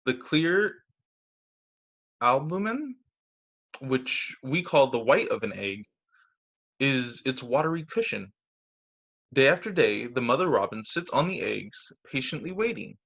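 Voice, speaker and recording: A man teaching young children through a read-aloud storytime on a video call, with expressive, deliberate phrasing. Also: mid-range voice, gravelly. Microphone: video call microphone.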